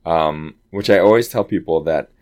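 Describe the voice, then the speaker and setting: A man with a low voice, an actor telling stories in a casual interview and acting out quoted lines. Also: moderate pace. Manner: animated, chatty, acts out quoted dialogue. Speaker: Broadway actor guest.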